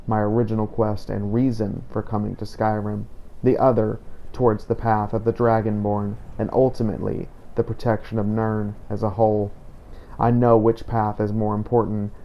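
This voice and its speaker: A man narrating a scripted first-person fantasy journal as a grave, duty-torn vampire hunter, solemn and measured. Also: lowish voice, vocal fry, highs cut off. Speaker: game player narrating a roleplay playthrough.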